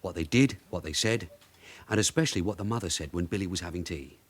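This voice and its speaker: An actor playing a shrewd veteran detective sergeant in a British radio drama, a husky voice and terse, probing delivery. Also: male voice, deep voice, fast talker. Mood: brisk, decisive. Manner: terse, probing questions and clipped orders.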